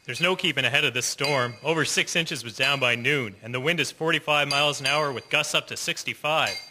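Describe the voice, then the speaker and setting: A wry, resigned plow worker, voiced by a man in a radio drama. He talks in a dry, even way at a middling pitch. Clear clinking of cutlery and dishes runs behind him. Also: a little fast, background noise, lightly acted.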